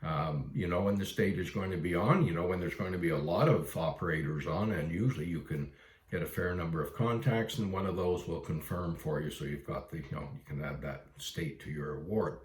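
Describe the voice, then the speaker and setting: A man's voice: deep voice, a little fast, reverberant. A Canadian man giving a casual explainer for beginners in a plain-spoken, instructive way. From Ontario, Canada. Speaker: amateur radio video presenter. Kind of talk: casual explainer for new hobbyists.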